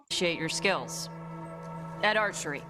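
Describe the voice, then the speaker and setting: A woman voicing an awkward, gruff, blunt dragon slayer in a video game, halting and flat in delivery. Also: on the low side. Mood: awkward, shy. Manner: halting, flat, rough around the edges. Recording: game audio, long reverb, faint music.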